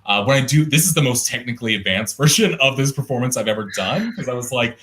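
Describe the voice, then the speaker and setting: A young adult man with a deep, resonant voice, talking casually and thoughtfully over a video call, with fillers and restarts. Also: expressive, fast, a bit bright. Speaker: artist guest. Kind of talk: casual conversational interview.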